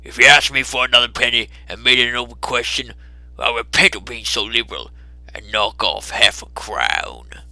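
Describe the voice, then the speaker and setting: A man's voice: medium pitch, moderate pace, creaky, pushed and bright, dry recording. Old male fence, done by a man reader: storybook villain, piercing, dry, wheedling, sly haggler. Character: sly old male pawnbroker of stolen goods. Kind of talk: dramatised Victorian storybook reading.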